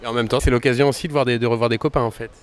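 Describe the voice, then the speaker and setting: A young man interviewing a guest, asking friendly questions quickly and often correcting himself mid-sentence. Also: medium pitch, fairly even intonation, a little hiss or hum. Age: young adult. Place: outdoors at a summer concert event.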